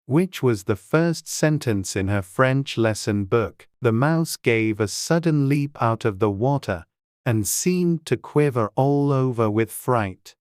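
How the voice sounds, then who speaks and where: A woman's voice: a bass voice, expressive, takes it slowly, a little mellow, no room sound, clean studio sound. A woman reading scripted storybook narration as an audiobook narrator.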